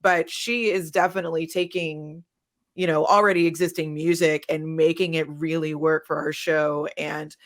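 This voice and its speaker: Woman panelist, audio fiction creator. Low register, conversational, expressive delivery. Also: moderate pace. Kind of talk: casual panel talk.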